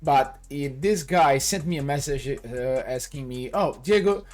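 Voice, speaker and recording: A man's voice: mid-range voice, measured pace. Chatty Brazilian man teaching networking. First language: Portuguese. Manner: chatty, many fillers, acts out little dialogues. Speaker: networking instructor. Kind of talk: casual tutorial explanation.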